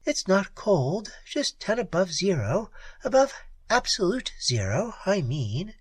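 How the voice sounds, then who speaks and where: A man reading aloud and voicing a cool, mocking female space pirate queen in a softer, higher voice. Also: very animated, measured pace, husky, dry recording, exaggerated voice. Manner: sweet, taunting, softly menacing.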